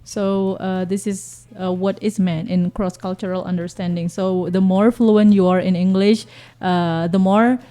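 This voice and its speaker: A woman, speaking as a guest teacher on a radio talk show, pausing often to search for words. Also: mid-range voice, fairly even intonation, mellow. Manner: hesitant, searching for words with repeated fillers.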